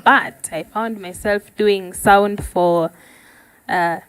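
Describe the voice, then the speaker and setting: A woman's voice: mid-range voice, fairly even intonation, extremely slow, clean tone, dry recording, low-quality recording. Kenyan woman guest, dry close sound. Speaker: guest, sound engineer and musician. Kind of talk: casual interview storytelling.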